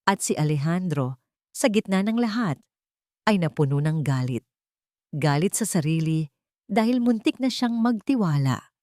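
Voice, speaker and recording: Female storyteller, lower register, dry close sound, dramatic romance narration. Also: lively intonation, measured pace, long pauses, dark tone, no echo.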